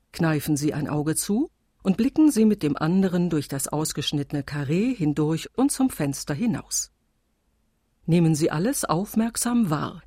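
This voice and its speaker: The female voice of a how-to recording, low and very mellow. She gives step-by-step instructions in a measured, matter-of-fact manner, dry and close, for a radio play. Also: husky, dry recording, full character voice. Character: calm, exact female narrator of an instruction recording.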